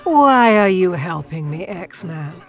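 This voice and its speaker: A woman playing a calm, reproachful older fugitive in a superhero audio drama. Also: on the low side, slow pace, background noise. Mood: wary. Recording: distant from mic.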